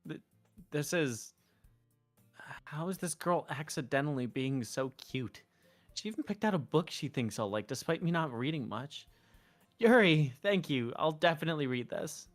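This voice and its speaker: A streamer reading the lines of a male high-school student, earnest and a bit flustered. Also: expressive, moderate pace, faint music behind, natural voice. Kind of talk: reading a visual novel aloud.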